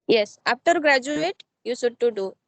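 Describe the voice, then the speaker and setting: Guest: woman from Odisha, Odia native, high voice, plain careful English, dry close sound. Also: animated, normal speed, no echo. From Odisha, India. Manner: simple, careful non-native phrasing. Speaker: guest, advocate. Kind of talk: casual English practice conversation.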